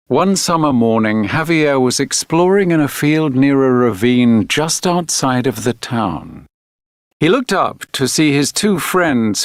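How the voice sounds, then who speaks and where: A man reading a children's read-along story as a narrator, deliberate and expressive. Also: mid-range voice, no room sound, studio quality. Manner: deliberate, clearly phrased, expressive reading for learners. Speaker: textbook audio narrator.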